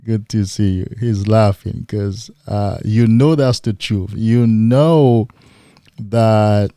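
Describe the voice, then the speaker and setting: A man hosting a motivational livestream, speaking emphatically with rhetorical questions and now and then mimicking excited men in a higher voice. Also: slow pace, smooth, dry recording, studio quality. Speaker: livestream host.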